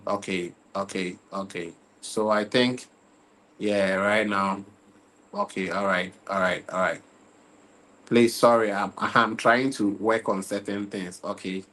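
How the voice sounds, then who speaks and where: A fairly low-voiced young man talks into a desk mic on his own livestream. He sounds tired and relaxed, repeats himself and keeps checking whether viewers can hear him. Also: takes it slowly, low-quality recording. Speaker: livestream football talk host. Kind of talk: casual livestream chat.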